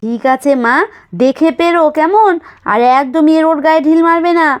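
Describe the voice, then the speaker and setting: A high, anxious village mother acted by a woman in a children's doll cartoon. She is caring but nagging, giving each instruction more than once. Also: moderate pace, lightly acted. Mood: caring, hurried. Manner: repeats gentle instructions, nagging.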